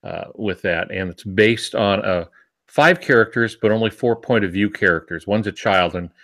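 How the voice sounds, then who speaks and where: A man with a low voice talks casually as an author on a writing podcast. His delivery is halting and rambling, with frequent pauses as he explains things. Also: fairly bright tone. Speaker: novelist and podcast panelist. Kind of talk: casual podcast chat about books and writing.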